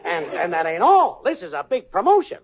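A man's voice: high-pitched, wildly swooping pitch, a little fast, full character voice. An actor playing a wisecracking small-time hustler boyfriend in a 1940s radio sitcom, full of brash salesman patter. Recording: dull old radio broadcast, audience laughter.

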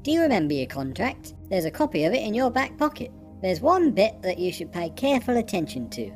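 A detached female experimenter lays out her terms in an even, unbothered voice, played by a woman. Her pitch is average. Quiet music plays beneath her. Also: fairly quick, natural voice. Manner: calm, detached, matter-of-fact.

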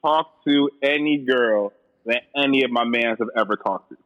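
A man's voice: mid-range voice, moderate pace. A man co-hosting a casual podcast chat, blunt and swearing, laughing often. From Haitian family. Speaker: podcast co-host. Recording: dull lo-fi sound.

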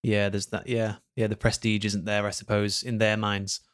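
A man's voice: lowish voice, moderate pace. Male podcast host asking questions. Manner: smooth scripted intro, then relaxed friendly questions. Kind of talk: podcast intro and interview questions.

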